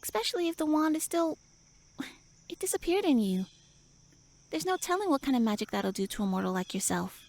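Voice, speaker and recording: A woman's voice: fairly high pitch, gravelly, dry recording. A woman voicing a tiny, pouty tsundere elf with little wings, flustered, huffy and indignant, speaking to the listener in a roleplay audio drama. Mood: huffy, defensive.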